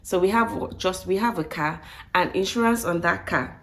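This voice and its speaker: A woman with a croaky voice, recovering from flu, chatting casually to viewers and often repeating and rephrasing as she goes. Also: fairly low pitch, normal speed, heavy background noise. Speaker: vlogger. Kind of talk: casual budget breakdown talk to viewers.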